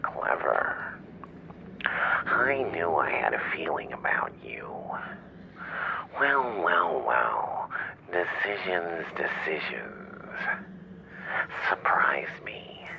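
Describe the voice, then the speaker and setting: An actor playing a gleeful, taunting male clown villain with creaky, breathy, drawn-out theatrical delivery through a radio-like filter in an audio roleplay. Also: a bass voice, very animated, exaggerated voice. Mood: gleeful menace. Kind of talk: dramatic audio roleplay acting. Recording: muffled, noisy radio-like line.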